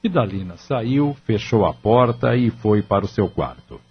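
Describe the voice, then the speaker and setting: A man's voice: lowish voice, lively intonation, a little slow, slight background noise. A man reading scripted, dramatic narration for an audio novel. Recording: muffled audio drama recording with music.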